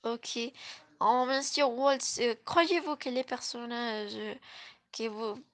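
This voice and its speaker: Slow, gravelly, high-voiced woman host. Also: a bit bright. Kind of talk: scripted interview hosting.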